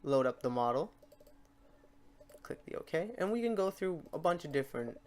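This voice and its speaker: A man hosting a software tutorial in a casual, unscripted way, talking to himself as he works through the steps. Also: a little high, animated, normal speed. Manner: informal, talks to himself while clicking through steps. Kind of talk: casual unscripted screen-recorded tutorial narration.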